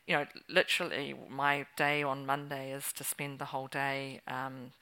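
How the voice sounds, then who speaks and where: A woman speaking on a discussion panel, thoughtful and halting, with many fillers. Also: rumbling low, flat delivery, pushed and bright, smooth.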